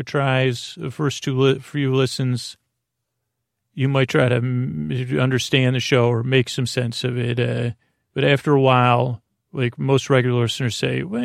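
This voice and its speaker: A man with a creaky voice hosting a sleep podcast in a lulling, friendly, meandering and halting way. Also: medium pitch, no room sound, clean studio sound. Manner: lulling, meandering, circular tangents. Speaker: podcast host. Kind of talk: rambling bedtime sleep podcast monologue.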